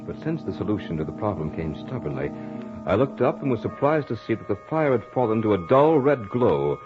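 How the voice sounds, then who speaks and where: A man playing a studious, self-assured young gentleman, telling his story in a measured, literary way in the style of 1940s radio drama. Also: on the low side, big pitch swings. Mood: intrigued, uneasy. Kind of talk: 1940s radio drama acting and first-person narration. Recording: dull old radio recording, music behind.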